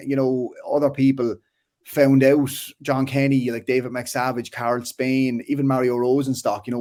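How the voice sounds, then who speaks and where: A man in his thirties with a Waterford Irish accent, a filmmaker chatting casually as an interview guest, animated and full of restarts. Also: medium pitch. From Ireland. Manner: animated, restarts often, frequent "like" and "you know".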